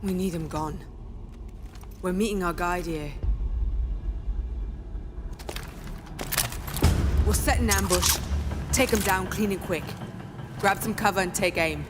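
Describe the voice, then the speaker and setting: A woman's voice: fairly low pitch, normal speed, pauses often, over music, natural voice. A woman playing a tough, driven gang leader in a video game cutscene, terse and commanding. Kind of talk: cinematic video game acting.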